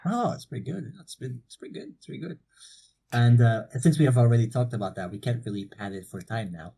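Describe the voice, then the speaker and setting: A young man from Portugal, a university student, co-hosting a casual podcast chat, relaxed and swearing freely on a cheap mic. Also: mid-range voice, quick pace, gravelly, slightly rough. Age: young adult. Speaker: podcast co-host. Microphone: cheap low-quality mic.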